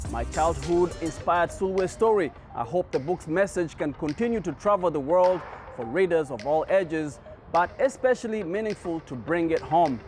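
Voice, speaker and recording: High-voiced man presenting entertainment news. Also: expressive, moderate pace, soft background music. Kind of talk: upbeat scripted news presenting.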